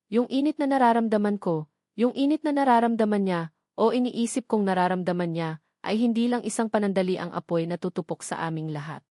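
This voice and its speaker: A woman's voice: mid-range voice, a little mellow, dry recording. Woman narrating a drama, dry close sound. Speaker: story narrator. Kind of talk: scripted first-person dramatic narration.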